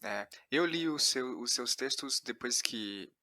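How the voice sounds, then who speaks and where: A Brazilian man with a bright, forward voice at a middling pitch, recorded close and dry. He speaks as an interviewer sharing his reflections, conversational and often rephrasing his thoughts midway. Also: expressive, slow pace, dry recording. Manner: searching, rephrasing as he goes. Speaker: podcast host, interviewer.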